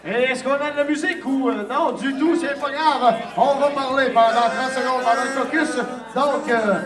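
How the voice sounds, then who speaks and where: Chatty Québécois commentator over loud crowd. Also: male voice, extremely high pitch, steady tone, moderate pace. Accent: Quebec French. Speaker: match commentator. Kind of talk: filling time during caucus. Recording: hall with music and loud crowd.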